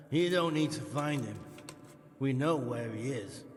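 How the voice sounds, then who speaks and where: A man's voice: medium pitch, expressive, takes it slowly. A man playing a wary, menacing criminal recruiter in a video game, curt, sardonic and coarse in manner. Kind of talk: dramatic video game cutscene acting. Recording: reverberant hall echo.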